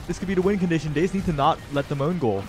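A man giving color commentary on an online esports tournament in a relaxed, chatty way. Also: on the high side, very fast. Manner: relaxed and chatty, laughs easily. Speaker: esports co-caster. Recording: faint game music behind.

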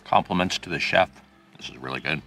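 Male, thirties, very low voice, casual delivery, recorded at a distance beneath clear music. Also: brisk. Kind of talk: casual remarks. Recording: distant camera mic under music.

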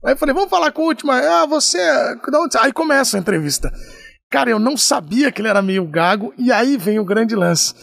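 A man in his forties telling stories as a guest in a podcast studio, animated and laughing often. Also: extremely high pitch, brisk, creaky, dry recording. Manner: lively, animated storyteller with bursts of laughter. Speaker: podcast guest, sports reporter. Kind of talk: casual chat, storytelling. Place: podcast studio, small room. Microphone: desk mic on a stand.

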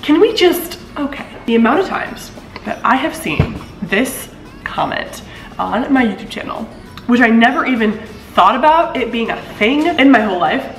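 A woman in her twenties chats casually to her camera, reading out comments and answering them. Her voice is deeper than most women's, and she laughs easily and swoops up in pitch. The sound is roomy, picked up a few feet away in an apartment living room. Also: sing-song, moderate pace, soft background music. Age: 20s. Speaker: vlogger. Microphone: camera mic at a few feet. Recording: echoey room.

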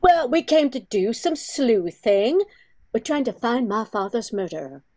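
Older woman as earnest daughter, high voice, amateur sleuth, radio play. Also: big pitch swings, unhurried, mellow, dry recording. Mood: determined. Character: earnest daughter sleuthing out her father's killer.

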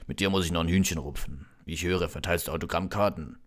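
Man as a deep, hearty bearded groundskeeper. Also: steady tone, quick pace, close to an everyday voice. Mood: teasing. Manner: folksy, teasing, hearty. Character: big friendly bearded male groundskeeper.

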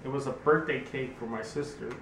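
A man's voice: mid-range voice, a little fast, creaky, a bit bright, roomy sound, a little hiss or hum, poor audio. A middle-aged man, a father, talking plainly to his daughter's camera in his kitchen, repeating himself. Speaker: vlogger's father. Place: home kitchen.

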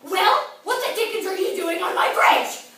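A woman's voice: high-pitched, lively intonation, normal speed, crowd noise. A woman playing a mean, bossy old troll in a children's fairy-tale play, hollering rudely. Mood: bellowing, demanding. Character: mean, bad-tempered, hungry old troll. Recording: echoey room, far from mic.

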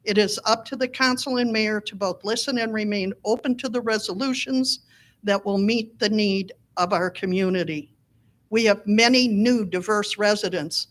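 A middle-aged woman presents herself as a council candidate in a prepared speech. Her voice is of medium pitch for a woman.

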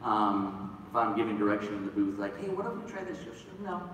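Lively man hosting in a huge echoey hall. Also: fairly low pitch, fast talker. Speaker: panel host and voice director. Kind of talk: casual onstage interview. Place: large convention hall, on stage. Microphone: stage PA picked up from far back in the audience. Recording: echoey hall from far off, noisy crowd.

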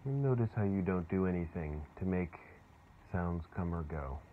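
A man leading a guided meditation in a soothing, unhurried way, leaving long pauses. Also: low-pitched, lively intonation. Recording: muffled phone-like sound with clear background noise.

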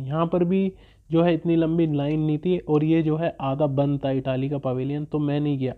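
A young man chatting casually in a travel vlog, rambling with loose fillers as he walks viewers around. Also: fairly high pitch. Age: young adult. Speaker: vlogger. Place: small room in front of a curtain, then walking through an expo. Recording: tinny phone-like sound.

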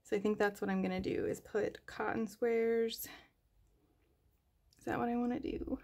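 A woman's voice: on the high side, fairly even intonation, moderate pace, darkish tone. A young woman talking casually while tidying her nail polish desk at home, thinking aloud with fillers and hesitations. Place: home nail room, indoors. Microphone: overhead camera above desk.

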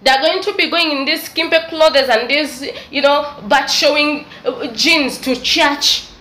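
A woman's voice: high voice, a little slow, pushed and bright. Woman, East African English accent, young adult, preachy, scolding, echoey room. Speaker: vlogger. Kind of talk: opinion commentary vlog. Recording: echoey room with faint noise.

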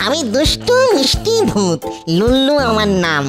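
A woman's voice: fairly high pitch, big pitch swings, moderate pace, loud music behind the voice. A woman voicing a mischievous, sweet-hungry young male ghost in a cartoon, wheedling and theatrical. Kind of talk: cartoon voice acting.

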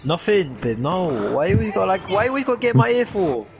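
A man's voice: sits high, big pitch swings, normal speed, dull audio. A man joking around and swearing with a friend while gaming. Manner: swears, says "swear down" often. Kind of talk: casual banter while gaming. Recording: music playing behind.

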